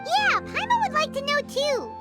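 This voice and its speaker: A woman voicing a tiny, childlike fairy companion in a video game, squeaky, perky and exclamatory. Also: sing-song, moderate pace, over music. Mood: curious, impressed. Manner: exclamatory, speaks of herself in the third person.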